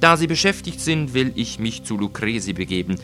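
A man voicing a cunning, vengeful gentleman in a story, flattering and coaxing with feigned concern for a friend. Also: medium pitch, fairly quick, soft background music. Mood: sly, baiting. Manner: flattering, falsely solicitous, coaxing. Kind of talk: dramatised audiobook reading.

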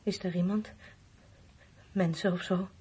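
A woman's voice: fairly low pitch, steady tone, unhurried, warm tone, breathy edge. An actress playing a weary woman left on her own in a Dutch radio drama, speaking tersely and asking probing questions. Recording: noisy old radio play recording.

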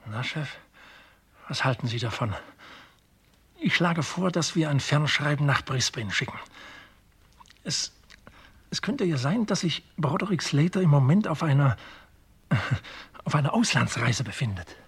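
Raspy, animated detective sidekick. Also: male voice, on the high side, measured pace, long pauses, exaggerated voice. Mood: eager, speculating. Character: eager, cynical male detective assistant. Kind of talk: German radio crime drama acting.

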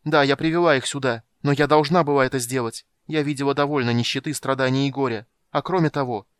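A man's voice: medium pitch, steady tone, quick pace, clear, resonant tone, dry recording, studio quality, voice pushed up, lightly acted. A man voicing a frightened, tearful young woman who pleads and defies in a raised, strained voice. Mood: defiant. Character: desperate young woman in hiding, tearful and fearful.

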